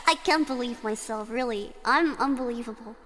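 A woman's voice: fairly high pitch, normal speed, a bit bright, in a large hall, slight background noise. A woman streamer with a strong singing voice, chatting and giggling between songs on a karaoke stream. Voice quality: strong belting voice. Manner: giggly, chatty, reads chat aloud.

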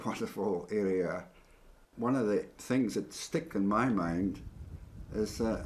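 An older male painter, interviewed in his studio, reminisces gently, with soft laughs and hesitations. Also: on the low side. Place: indoor studio.

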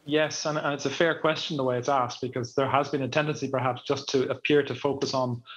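A middle-aged man answering a panel question over a video call in a measured way, with many restarts. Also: medium pitch, steady tone, fairly bright tone, breathy edge. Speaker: panelist, EU official. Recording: muffled, lo-fi video call audio.

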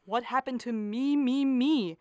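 A woman's voice: a little high, unhurried, very noisy, close to an everyday voice. An actress playing a harried, defensive middle-aged mother of a bride in a radio comedy, with a snappy, dramatic delivery. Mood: flustered, snappy. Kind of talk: stage comedy performed as a radio play.

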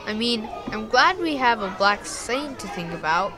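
A man's voice: high voice, big pitch swings, unhurried, music in the background, a crowd in the background. An actor playing an earnest, troubled young boy in an audio drama, speaking hesitantly.

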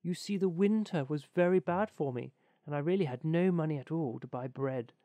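A man's voice: fairly high pitch, moderate pace, mellow, no room sound, lightly acted. Man playing humble, trusting little Hans. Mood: earnest. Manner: meek, earnest, grateful.

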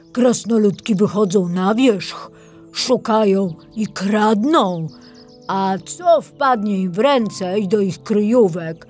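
Woman voicing a slow, solemn storybook bear. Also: mid-range voice, a little mellow. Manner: slow, deliberate. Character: kindly talking bear, solemn and protective storybook beast. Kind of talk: acted storybook dialogue.

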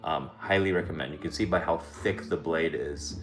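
A man's voice: rumbling low, moderate pace, over music. A man in his thirties hosting a casual cooking livestream from his home kitchen, talking in a loose, rambling way with many fillers. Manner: relaxed, rambling, lots of "like" and "um". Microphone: camera set a few feet away.

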